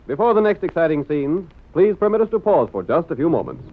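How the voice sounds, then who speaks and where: A man's voice: a little high, very animated, fast talker, noisy background. A man announcing and narrating a radio drama in formal, scripted 1940s style. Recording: tinny narrow-band old radio recording.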